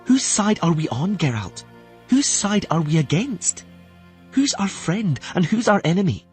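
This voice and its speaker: A man's voice: high voice, big pitch swings, measured pace, darkish tone, faint music behind, voice pushed up. A man voicing a stubborn, anxious young girl who questions everything in a fantasy audiobook. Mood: insistent. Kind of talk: audiobook character voice.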